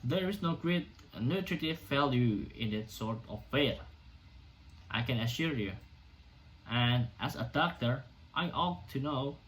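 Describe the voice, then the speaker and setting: A man playing a defiant, indignant doctor orating to a hostile crowd in an amateur dramatic reading of a stage play, emphatic and sometimes halting. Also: mid-range voice, natural voice, rough recording. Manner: emphatic, declamatory, halting in places.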